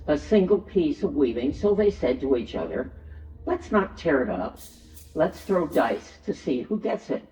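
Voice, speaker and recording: A man's voice: fairly high pitch, a little mellow. A man reading scripted Bible narration as the narrator of a Passion drama. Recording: echoey, slightly dull, music behind.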